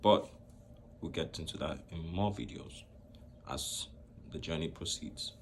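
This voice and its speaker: A young man from Nigeria speaking to camera in a slow, reflective way, pausing often between phrases. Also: rumbling low, slight background noise. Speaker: vlogger. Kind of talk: personal talk to camera.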